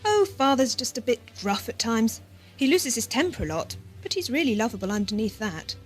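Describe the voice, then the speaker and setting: Woman acting a girlish, naive young woman, high-pitched. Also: very animated, fairly quick. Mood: bright, earnest. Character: naive, devoted young woman, childlike and forgetful. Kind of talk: audio drama acting. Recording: amateur 1980s audio drama with music.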